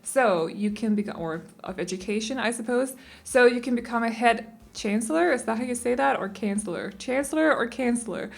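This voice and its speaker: A woman's voice: a little high, expressive, brisk, creaky, hardly any pauses. A young Danish woman, not a native English speaker, talks casually over her speed build video, rambling and often correcting her own word choices. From Denmark. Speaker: game building channel host.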